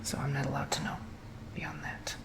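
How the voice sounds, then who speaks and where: A man whispering softly and gently through an ASMR roleplay, as if doing someone's makeup. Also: mid-range voice, level tone. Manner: soft whispering, slow and gentle.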